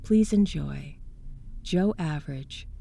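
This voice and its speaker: A woman presents a scripted introduction in a measured, courteous manner. Her voice sits low, with a soft, mellow tone. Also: creaky. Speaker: presenter and voice actress.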